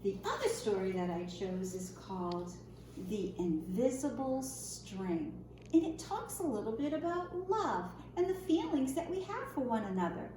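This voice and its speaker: A woman reading a picture book aloud for young children in an expressive, singsong storytime manner, often posing questions to her listeners. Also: fairly high pitch, a little slow. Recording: roomy echo, faint background noise.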